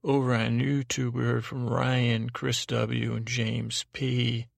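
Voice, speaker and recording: A man hosting a bedtime podcast in a meandering, halting monologue, repeating himself and trailing off, gently self-deprecating. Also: medium pitch, monotone, gravelly, no echo, clean studio sound. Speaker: podcast host. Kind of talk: rambling sleep-podcast monologue with listener thanks.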